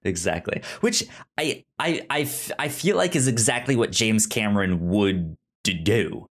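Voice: mid-range voice, expressive, a little slow. A podcast co-host chatting casually about films, often stammering and repeating words in a hesitant way. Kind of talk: casual film discussion.

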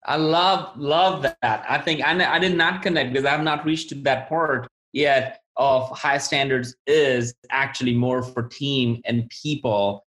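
A man's voice: medium pitch, fairly even intonation, unhurried, hardly any pauses, a bit bright. A man hosting an interview podcast, enthusiastically affirming his guest and summarizing takeaways. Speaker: podcast host.

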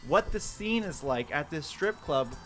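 A man's voice: fairly high pitch, expressive, measured pace, fairly bright tone, faint music behind. A man co-hosting a comedy podcast, telling casual stories with joking, mock-announcer asides. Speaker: podcast co-host. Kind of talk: comedic casual storytelling and banter.

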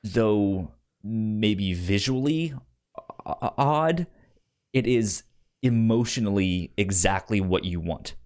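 Man chatting casually, halting delivery. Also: fairly low pitch, a little mellow. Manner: halting, with stammered repeated word starts. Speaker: podcast co-host. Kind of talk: casual chat discussing a TV series.

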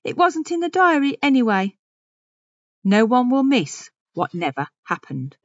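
A woman's voice: on the high side, very animated, unhurried, dry recording, muffled recording. A woman playing a fussy, haughty, anxious older woman in a dramatic audio monologue, with a pompous, self-important way of repeating herself. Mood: fretful, resolute. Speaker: audio story reader. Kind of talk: dramatic first-person monologue reading.

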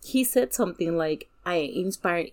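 A woman's voice: lowish voice, lively intonation, normal speed. A woman guesting on a podcast, chatting casually and somewhat tentatively, restarting her phrases. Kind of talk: casual chat.

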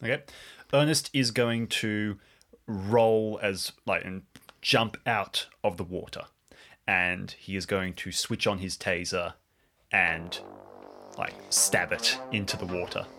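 A man's voice: low-pitched, steady tone, slow, sharp tone. A man playing a tabletop game, casually describing what his character does. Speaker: player in a tabletop game show. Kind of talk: casual improvised game play. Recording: music behind the voice.

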